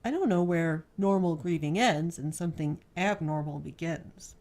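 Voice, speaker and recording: Lady reading worried but playful young wife, audiobook novel, earnest n emphatic, lowish voice. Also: normal speed, a little mellow. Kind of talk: audiobook character dialogue.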